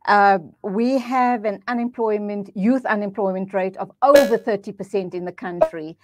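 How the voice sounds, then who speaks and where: A woman's voice: mid-range voice, darkish tone, no room sound. Older woman host in a dry studio. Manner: brief affirming interjections, measured questions. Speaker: talk show host. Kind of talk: interviewing a guest. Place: studio indoors. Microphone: headset.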